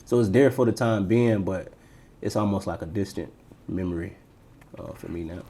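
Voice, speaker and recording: Low mellow florida guy on a podcast, laid back, telling his own stories. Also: normal speed. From Tampa, Florida, United States. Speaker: podcast co-host being interviewed. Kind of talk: casual personal storytelling.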